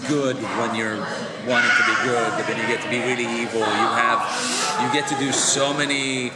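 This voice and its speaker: A man in his forties with a deep voice, chatting casually in a press interview with many restarts and fillers. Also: moderate pace, very noisy. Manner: relaxed, chatty, many restarts and fillers, gestures while talking. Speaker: actor being interviewed. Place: large convention press room. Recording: echoey hall with loud crowd. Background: loud crowd chatter.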